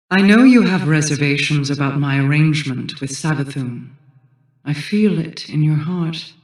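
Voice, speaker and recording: A woman playing a solemn, calculating queen in a video game, speaking in a measured, formal way. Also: sits low. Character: regal, solemn, calculating queen of an otherworldly people. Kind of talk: scripted video game acting. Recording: roomy echo, distant from the mic.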